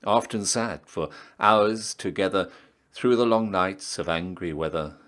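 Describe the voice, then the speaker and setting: A man with a low-pitched voice gives a slow, dramatic poetry recitation. His phrasing is solemn and theatrical, and the ends of his lines often sink into a hush. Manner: measured, solemn, theatrical phrasing with hushed line endings. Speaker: poetry reader, town crier.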